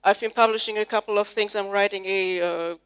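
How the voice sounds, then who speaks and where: German-native woman teaching code at a conference, clear smooth voice, asks the crowd stuff. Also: mid-range voice, fairly even intonation, fairly quick, fairly bright tone. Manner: explanatory, polls the audience with questions. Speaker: conference speaker, Java trainer. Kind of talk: live technical conference talk. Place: conference hall before an audience.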